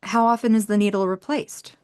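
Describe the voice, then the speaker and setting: A woman's voice: medium pitch, steady tone, fairly quick, a little mellow, clean tone, close to an everyday voice. A woman playing a serious, methodical investigator in an audio theater mystery, with a clinical, exact manner. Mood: clinical, intent. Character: methodical, serious female investigator with forensic skills.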